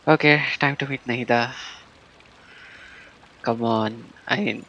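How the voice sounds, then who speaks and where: A man's voice: mid-range voice, fairly even intonation, takes it slowly, creaky, bright, forceful tone, dull audio, a little hiss or hum. A man giving casual, offhand live commentary as he streams a video game.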